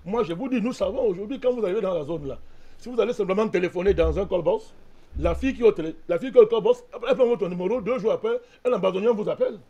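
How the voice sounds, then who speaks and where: A man's voice: sits high, very fast, mellow, lo-fi sound. A man from Cameroon, a panelist in a heated political debate, arguing insistently and keeps speaking to the host by name. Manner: insistent, argumentative, repeats a direct address to the host.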